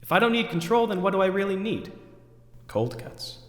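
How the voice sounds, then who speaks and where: A man's voice: sits high, very animated, normal speed. A man acting out the theatrical internal monologue of an overwrought, neurotic man who questions himself, recorded in an echoey hall. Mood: searching, unsure. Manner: ranting, then talking himself down. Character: overwrought, neurotic man agonizing over forgotten groceries, self-questioning. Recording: echoey hall with long reverb.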